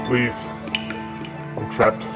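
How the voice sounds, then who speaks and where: Slow, weary trapped man. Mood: cold, desperate. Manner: slow, halting. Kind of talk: audio drama acting. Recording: loud music over the voice.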